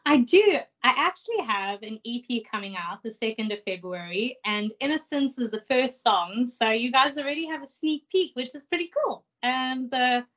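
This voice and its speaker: A woman's voice: fairly high pitch, animated, moderate pace, few pauses, fairly bright tone. A young woman from South Africa, a singer, chatting enthusiastically about her music in a video call interview. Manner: chatty, enthusiastic, many "like" fillers. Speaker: singer guest. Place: small room indoors. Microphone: video call, laptop mic.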